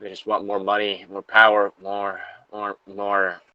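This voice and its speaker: Dying, trapped workman played by a man, low voice, weary and halting, dry dramatic diary reading. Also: monotone, no echo, dull audio. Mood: sorrowful. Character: dying, despairing male factory worker trapped under rubble.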